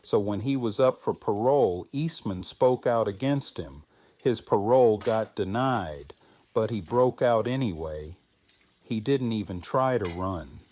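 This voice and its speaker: A man playing a grieving, reflective widowed survivor in an audio drama, speaking in a halting, subdued manner. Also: lowish voice, lively intonation, a little mellow, no room sound. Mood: reflective storytelling. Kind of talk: fan audio drama acting.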